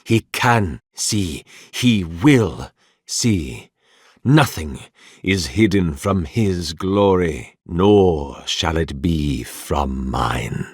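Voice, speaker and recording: Slow, low-voiced, devout scholarly priest. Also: male voice, animated, a little mellow, slightly rough, dry recording, clean studio sound, exaggerated voice. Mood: grave, fervent. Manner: measured, formal, thoughtful, reverent. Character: weary, devout, courteous scholarly male priest of a sun god. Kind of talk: video game character dialogue, formal and archaic.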